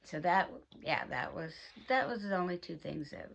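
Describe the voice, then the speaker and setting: Hesitant low-voiced woman, muffled. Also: expressive, moderate pace, gravelly, a little hiss or hum. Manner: brief, hesitant answers, laughs easily. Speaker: co-host. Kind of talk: casual review chat.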